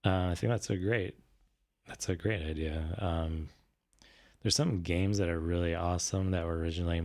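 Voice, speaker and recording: A man with a low voice chats casually as a livestream host. He sounds a little raspy and worn from being sick, and he thinks aloud in a hesitant, halting way. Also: darkish tone. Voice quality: slightly raspy. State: voice tired after recent illness. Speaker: streamer host.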